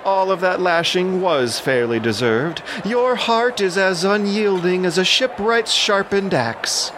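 A man's voice: sits high, expressive, a little slow, noisy background, pitched above the performer's own voice. A man playing a vain, self-justifying handsome prince in an audio drama. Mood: vain, defensive.

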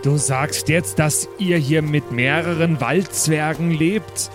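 A man's voice: fairly high pitch, fairly even intonation, slow pace, music in the background. A man playing a curious, naive dwarf brother in an improvised fantasy game.

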